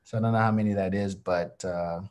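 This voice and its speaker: A young man from Nigeria whose first language is Yoruba, talking as an interview guest over a video call in an energetic, playful, slangy way and laughing a lot. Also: deep voice, brisk. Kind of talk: casual interview. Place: home in Bali.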